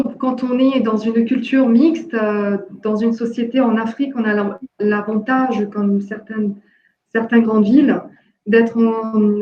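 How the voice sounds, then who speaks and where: A woman from Jordan with no accent, speaking haltingly with many fillers and restarts in an online discussion workshop. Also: on the high side. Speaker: workshop participant, entrepreneur. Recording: echoey, dull lo-fi call audio.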